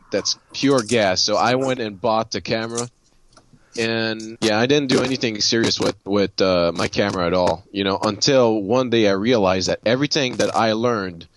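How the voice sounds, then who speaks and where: A man from Haiti telling a personal story casually, in a halting way with repeated words. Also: lowish voice, a bit bright, slight background noise. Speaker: guest photographer and designer.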